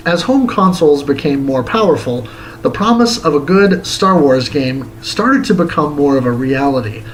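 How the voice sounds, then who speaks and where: A man with a somewhat high voice narrates a scripted retro game review. He reads it aloud as the show's host, with a theatrical, tongue-in-cheek delivery. There is noise behind his voice. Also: expressive, moderate pace, poor audio.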